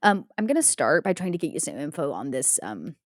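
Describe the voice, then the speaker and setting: A woman acting out a spoiled young party-girl vampire for a roleplay podcast, on the lower side in pitch and very lively in melody. She talks in airy, filler-laden valley-girl phrases with total self-assurance, recorded close and dry. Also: brisk, dry recording. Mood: scheming, self-assured. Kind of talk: improvised tabletop roleplay.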